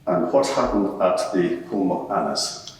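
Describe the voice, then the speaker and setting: Low-voiced formal lawyer played by a man, echoing courtroom. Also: animated, a little slow. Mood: formal, probing. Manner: formal, terse questioning. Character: formal, probing lawyer questioning a witness at an inquiry. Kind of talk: dramatised courtroom examination. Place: large courtroom before a live audience. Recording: echoey hall, distant mic, background noise.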